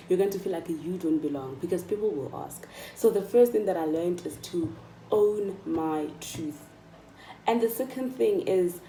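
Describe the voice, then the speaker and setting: A woman's voice: fairly low pitch, dark tone, a little hiss or hum. A South African woman in her mid-twenties talking casually and emphatically to viewers, encouraging them and repeating phrases for stress. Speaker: vlogger. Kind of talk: casual motivational chat to viewers. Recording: echoey room, distant microphone.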